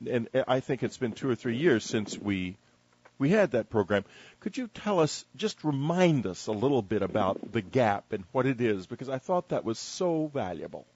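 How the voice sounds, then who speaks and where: An older man hosting an interview show, talking conversationally with deliberate, emphatic phrasing. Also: mid-range voice, big pitch swings, a little mellow, breathy edge, muffled recording. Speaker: radio show host interviewing a guest.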